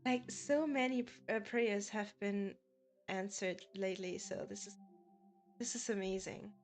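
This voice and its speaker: A woman's voice: mid-range voice, fairly even intonation, unhurried, soft background music. A woman from Germany with a German accent, chatting casually with viewers as a game streamer and laughing often. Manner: giggly, chatty, often laughs between sentences.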